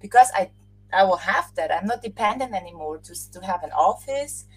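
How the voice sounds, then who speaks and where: A woman from Austria with a slightly husky voice talks at a middle pitch. She answers questions in a loose, chatty way. She laughs now and then and often circles back to restart a thought. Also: animated, brisk, poor audio. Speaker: podcast guest. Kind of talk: casual interview chat.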